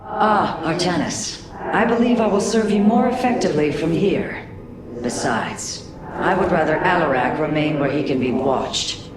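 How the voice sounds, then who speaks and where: An actress playing a cool, wary female alien matriarch in a game cutscene, measured and wry. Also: sits low, expressive. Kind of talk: video game cutscene acting. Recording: roomy, distant, heavy background noise.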